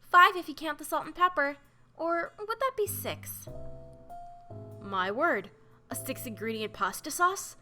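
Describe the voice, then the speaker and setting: An eager, chatty young woman student, as a woman plays her, quick with questions. Her voice is high and bright, slightly stuffy from a cold, with faint music underneath. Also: lively intonation, exaggerated voice. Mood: playful. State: nasal from a cold.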